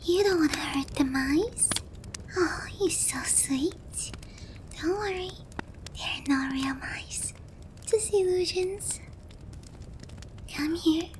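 Woman doing a sweet clingy witch girl asmr, super high whispery voice, takes her time, gentle n lonely. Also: a little hiss or hum, rough recording. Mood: soft, coaxing. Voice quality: soft, breathy, whispery. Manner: slow, gentle, coaxing. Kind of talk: ASMR roleplay.

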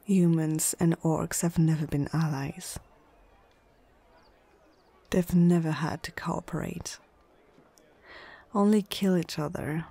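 A woman playing a stern, proud female orc chieftain in a whispered ASMR fantasy roleplay, speaking in short, measured phrases with a husky, breathy voice. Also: sits low, steady tone, warm tone, full character voice. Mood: wary, skeptical.

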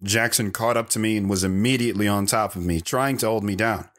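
A man's voice: fairly low pitch, a little fast, a bit bright, lightly acted. A man playing a wanderer recounting his hard life in first-person audio drama narration. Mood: reflective.

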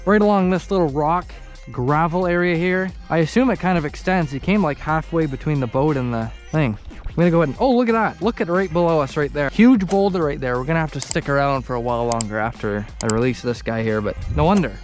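A man's voice: fairly high pitch, quick pace, soft background music. A young man, filming himself from a small boat on a lake and chatting casually about his catches in a goofy, self-mocking way. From Canada. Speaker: fishing vlogger. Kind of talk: casual self-filmed commentary. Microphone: action camera.

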